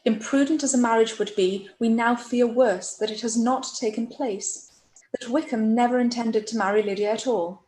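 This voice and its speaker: A woman acting a gentle, anxious young gentlewoman in a period radio play, speaking earnestly, recorded in an echoey, noisy room. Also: fairly high pitch, steady tone, moderate pace, close to an everyday voice. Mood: worried, earnest. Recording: echoey room with background noise.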